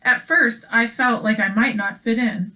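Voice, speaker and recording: A woman co-hosting a children's read-aloud, mixing expressive storytelling with casual chat. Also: fairly high pitch, measured pace, poor audio.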